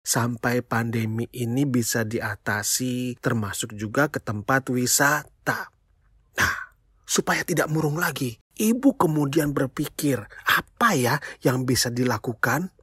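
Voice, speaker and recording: A storyteller telling a children's tale in an expressive, playful way, speaking straight to young listeners with exclamations and questions. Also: mid-range voice, measured pace, husky, no echo, studio quality. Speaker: children's storyteller, show host.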